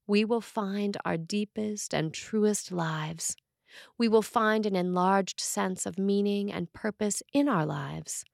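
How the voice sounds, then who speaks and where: Woman preaching from a script, really unhurried and deliberate, dry close sound. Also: mid-range voice, a little mellow, dry recording. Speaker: preacher reading a written sermon. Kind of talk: scripted sermon reading.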